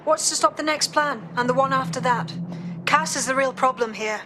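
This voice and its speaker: An actress playing a calm, determined female resistance leader with a steady manner. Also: sits high, moderate pace, background noise, natural voice. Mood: resolute.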